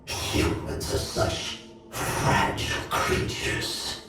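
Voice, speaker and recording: A man's voice: drawn-out words, far from the mic. A man voicing a sly, pompous nightmare monster villain in a video game, speaking formally and gloatingly. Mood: smug, menacing. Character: sly, theatrical male nightmare monster villain, last of his kind. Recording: cavernous echo, loud music behind.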